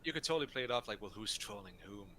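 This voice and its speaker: A man's voice: lowish voice, animated, rapid-fire, sharp tone. A young man with a first language other than English, chatting casually while streaming a game. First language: not English. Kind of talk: casual gaming chat.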